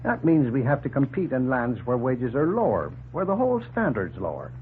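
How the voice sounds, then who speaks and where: An actor playing a hospitable, plain-spoken farmer in a 1940s radio drama, earnest and explanatory. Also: male voice, mid-range voice, big pitch swings, a little fast, slight background noise, natural voice. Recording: tinny, humming 1940s radio recording.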